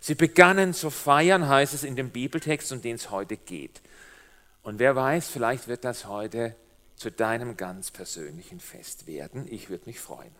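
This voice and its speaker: A middle-aged man with a Swabian German accent shaded by years in Switzerland, giving a lively, humorous sermon as a guest preacher and speaking directly to the congregation. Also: mid-range voice, unhurried. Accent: Swabian German with Swiss German influence.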